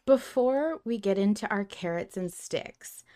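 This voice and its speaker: A woman's voice: mid-range voice, lively intonation, measured pace, warm tone. A woman hosting a fan podcast in casual, chatty, giggly conversation. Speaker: podcast host.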